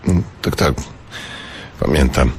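Deep-voiced, anxious, hapless debtor. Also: male voice, slow pace, exaggerated voice. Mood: nervous, defensive. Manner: hesitant, pleading, emotional. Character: anxious, hapless indebted man turned amateur bank robber. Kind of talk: radio drama acting. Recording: clearly noisy background.

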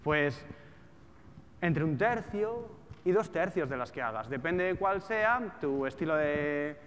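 A man's voice: on the high side, animated, slow pace, fairly bright tone. A man in his thirties with a European Spanish accent, giving an informal, colloquial talk to a live audience, full of rhetorical questions. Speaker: speaker, trader and trading educator. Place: large auditorium stage with seated audience. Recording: echoey hall with background noise.

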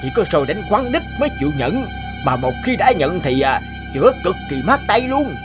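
Scheming rich villager, high and lively, gloating, cartoon music under it. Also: male voice, normal speed. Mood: sly. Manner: gloating, scheming, sneering laughs. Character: jealous rich young village man, scheming. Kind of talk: animated folk tale voice acting. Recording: music bed behind the voice.

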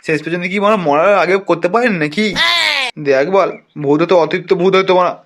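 A man's voice: high-pitched, fast, natural voice. A man playing a brash, foul-mouthed village gang leader in a comic cartoon, scolding and blustering colloquially. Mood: mocking.

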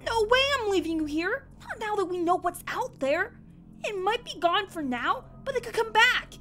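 A woman's voice: very high voice, lively intonation, normal speed, sharp tone, exaggerated voice. A woman voicing a tough, protective, weary young female cartoon pony with a brash, blunt manner in a horror story. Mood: urgent, insistent.

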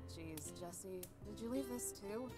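A woman's voice: medium pitch, expressive, slow. A woman voicing a young, teasing but fond park ranger in a visual novel game. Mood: mildly exasperated. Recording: game music behind the voice.

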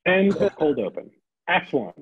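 A man's voice: a little high, big pitch swings, normal speed, husky. A man reading stage directions and character notes aloud at a script table read, on a thin recording. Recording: thin, phone-like, band-limited sound.

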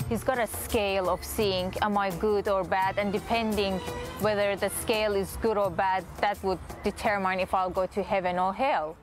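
A woman in her thirties, born in Iraq and raised in Iran, now living in Australia, giving a soft, reflective testimony outdoors in a garden. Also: medium pitch, steady tone, normal speed. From born in Iraq, raised in Iran, lives in Australia. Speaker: testimony guest. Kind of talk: personal testimony interview. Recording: music bed under voice.

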